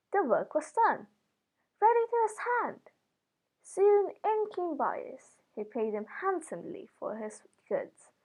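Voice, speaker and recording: A woman reading a fairy tale aloud as scripted narration, with expressive, dramatic emphasis. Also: sits high, narrow, tinny audio. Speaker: storybook reader.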